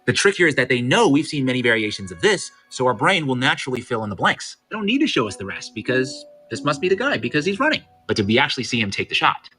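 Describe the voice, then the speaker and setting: Bright, lively man narrating over music. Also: mid-range voice, fast talker, hardly any pauses. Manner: pointed, rhetorical questioning. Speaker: video commentator. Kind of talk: scripted explainer narration. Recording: music bed under the voice.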